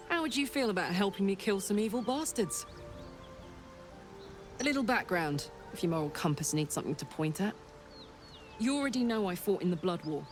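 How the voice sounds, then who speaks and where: A woman's voice: mid-range voice, natural voice. An actress playing a brash, fierce female warrior escaped from hell in a video game, blunt, sweary and informal in manner. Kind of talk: video game character acting.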